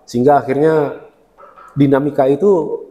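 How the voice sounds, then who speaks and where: Chatty lecturer in a roomy hall. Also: male voice, mid-range voice, steady tone, moderate pace, warm tone. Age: 30s. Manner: chatty, laughs often while explaining. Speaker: university lecturer. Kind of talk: relaxed interview talk.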